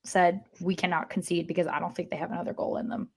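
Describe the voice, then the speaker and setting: A woman's voice: lowish voice, level tone, fast talker, slightly rough. A woman co-hosting a podcast, chatting casually about sport in an emphatic, choppy way with restarted sentences. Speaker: podcast co-host.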